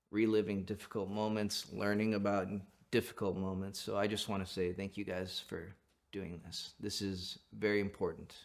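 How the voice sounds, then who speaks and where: A man hosting an interview podcast who speaks in an earnest, thankful, upbeat way. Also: lowish voice. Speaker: podcast host.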